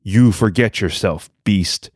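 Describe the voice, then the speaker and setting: Man putting on a strict emperor of heaven, storybook voice, cold and bossy, kinda slow. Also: lowish voice, breathy edge, dry recording, clean studio sound, voice pushed down. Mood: stern. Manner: cold and commanding. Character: stern commanding male heavenly emperor.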